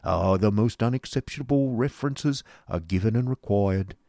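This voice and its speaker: A man's voice: lowish voice, normal speed, mellow, dry recording, studio quality, lightly acted. A man voicing a pompous, grasping middle-aged schoolmaster who talks in a wheedling sales patter.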